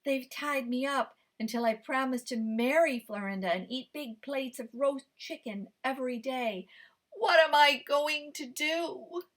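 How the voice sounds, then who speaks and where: A woman's voice: high voice, a little slow. Kui, a woman doing a frantic little guinea pig. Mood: sly. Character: clever small male guinea pig trickster.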